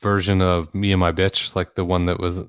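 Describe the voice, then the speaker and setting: Deep-voiced man, self-deprecating and laughing. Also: little pitch movement, moderate pace, clean tone, dry recording, studio quality. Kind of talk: casual chat.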